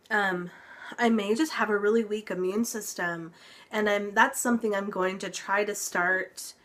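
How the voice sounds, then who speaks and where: Slow, unhurried young woman vlogger, stuffy-nosed and recovering, rambling casual update. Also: mid-range voice, fairly bright tone. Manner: chatty, lots of ums, occasional laughs. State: congested, recovering from illness. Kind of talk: casual health update vlog.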